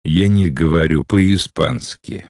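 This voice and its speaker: A low-pitched reader sounds out short phrases at a very slow, deliberate pace. Each word is enunciated with care for beginners. The recording is dry and close, with no room sound. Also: fairly even intonation, a little mellow, dry recording. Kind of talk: slow scripted phrase reading.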